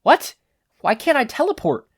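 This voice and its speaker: Excitable teenage boy, put on by a narrator. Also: sits high, normal speed, slightly rough, dry recording, studio quality, pitched above the performer's own voice, heavily acted. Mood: panicked, exasperated. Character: teenage boy hero student, excitable and exasperated.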